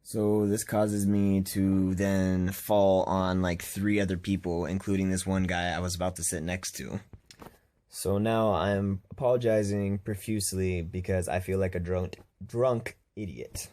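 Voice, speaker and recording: A man's voice: sits low, steady tone, unhurried, warm tone. A young man telling a casual vlog story in an exasperated, self-deprecating way. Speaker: vlogger.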